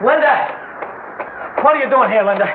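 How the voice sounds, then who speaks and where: An actor playing a jealous, hot-tempered young bully, curt and slangy, in a 1960s radio drama. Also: male voice, very high voice, brisk, heavy background noise. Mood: angry, menacing. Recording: thin, tinny old radio recording.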